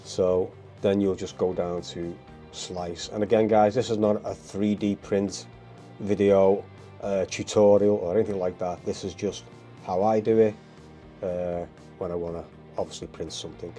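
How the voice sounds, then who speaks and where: A man with a cold talks through his hobby in a stuffy, bunged-up voice that sits low and dark. He rambles casually, filling the gaps with ums and uhs. Faint music plays quietly behind him. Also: flat delivery, moderate pace. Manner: rambling, full of ums and uhs, keeps saying guys. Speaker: hobby channel host. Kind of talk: casual how-to narration.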